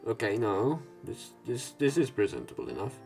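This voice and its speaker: A man's voice: medium pitch, wildly swooping pitch, moderate pace, darkish tone. A man reading visual novel text aloud as a let's play narrator, with casual asides. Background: visual novel game music and sound effects.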